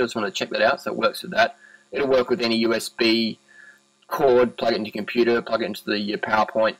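A man's voice: medium pitch, fairly bright tone, no echo, rough recording. A man in his thirties with an Australian accent, giving a casual, laid-back product review in short, clipped phrases. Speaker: vlogger, cyclist reviewing gear.

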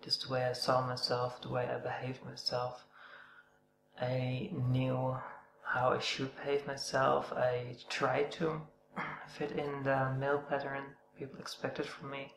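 Hesitant, gravelly woman in an echoey room. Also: a bass voice, monotone. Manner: hesitant, soft-spoken, pausing and sighing. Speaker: vlogger. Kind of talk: personal testimony. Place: indoor room, seated on a couch before a dark backdrop. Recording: echoey room with humming noise.

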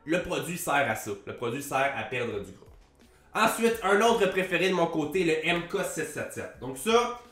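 Stuffy-nosed Québécois man talking fast. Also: fairly high pitch, echoey room. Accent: Québécois French. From Quebec. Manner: fast, animated, swears casually, gestures a lot. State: head cold, nasal voice. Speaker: fitness coach. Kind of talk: casual vlog talk to camera. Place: small room with a plain grey wall. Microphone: large desk mic on a boom arm.

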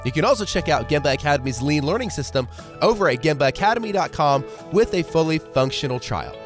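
A male podcast host with a cold, sounding a bit hoarse and froggy, talking in an upbeat, affirming way. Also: a little high, expressive, fast, over music. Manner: upbeat, affirming, frequent "yeah". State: congested from a cold. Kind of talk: podcast intro and interview.

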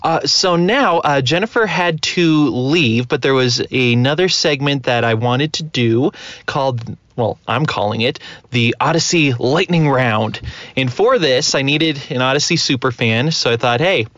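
A man's voice: medium pitch, a little slow, fairly bright tone, no echo. A man chatting casually as a podcast host. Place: inside a car. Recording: dull lo-fi, dry.

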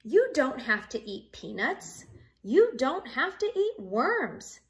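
A woman's voice: high voice, very animated, slow pace, heavily acted. A woman voicing a kindly mother rabbit from a storybook in a sing-song, emphatic, reassuring way. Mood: reassuring, cheerful.

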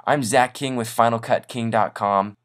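A man hosting a casual software tutorial in an informal, upbeat, self-deprecating way. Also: mid-range voice, fairly even intonation, moderate pace. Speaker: tutorial host. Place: voice-over on a screen recording.

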